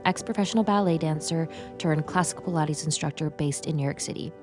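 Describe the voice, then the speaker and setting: A middle-aged woman hosting a fitness podcast, moving from a scripted intro into relaxed, upbeat interview questions. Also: sits low, a little fast, music in the background. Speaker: podcast host. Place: New York City.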